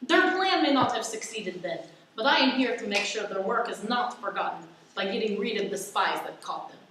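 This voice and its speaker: A woman's voice: mid-range voice, lively intonation, normal speed, natural voice. A woman playing a gloating, self-important spy villain in a radio play, given to pompous monologues. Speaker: actor. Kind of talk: stage-style radio play acting. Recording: echoey room, distant mic, faint noise.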